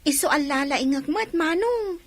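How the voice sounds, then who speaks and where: A woman playing a cheerful young sibling in an Ilocano radio comedy drama, with a high, childlike voice. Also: animated, moderate pace. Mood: playful. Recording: music under the voice.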